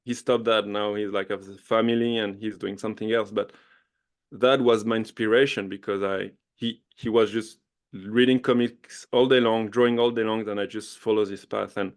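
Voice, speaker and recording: A man's voice: lowish voice, fairly even intonation, moderate pace. A man in his thirties with a French accent, chatting eagerly as a podcast guest, often laughing and restarting his sentences. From France. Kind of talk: casual interview chat.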